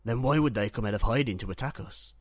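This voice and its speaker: Man playing a calm ship medic, dry sound. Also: mid-range voice, quick pace, no room sound, natural voice. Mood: reassuring. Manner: matter-of-fact, reassuring. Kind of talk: Minecraft roleplay web series voice acting.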